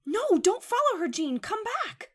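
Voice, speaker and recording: A woman's voice: extremely high pitch, big pitch swings, measured pace, fairly bright tone, full character voice. A woman playing an anxious, devoted young woman in an old-time radio horror drama, earnest and melodramatic. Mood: frightened, frantic.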